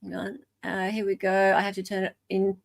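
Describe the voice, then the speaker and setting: Female Australian host, mid-range voice, casual live chat, excitable, mutters while working. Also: mid-range voice, brisk, creaky. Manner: excitable, muttering to herself as she searches. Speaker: podcast host.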